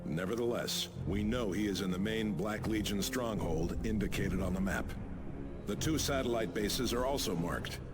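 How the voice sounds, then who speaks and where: An actor playing an earnest, resolute space marine sergeant, speaking firmly and formally in video game dialogue. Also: male voice, lowish voice, moderate pace, lightly acted. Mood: concerned resolve. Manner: firm, formal, soldierly. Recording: loud music behind the voice.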